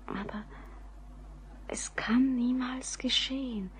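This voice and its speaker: An actress playing an anxious young bride resigned to her doom in a radio drama, speaking in a hushed, breathy, halting way. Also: high-pitched, steady tone, a little hiss or hum. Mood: fearful, resigned. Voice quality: breathy, often near whispering. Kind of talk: German radio play acting.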